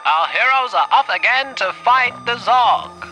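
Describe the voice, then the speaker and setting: A man's voice: extremely high pitch, lively intonation, a little slow, poor audio. A man acting as the dramatic announcer of a superhero serial, grandiose and full of suspense. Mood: grand suspense. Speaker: narrator. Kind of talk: scripted serial announcer narration. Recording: music under the voice.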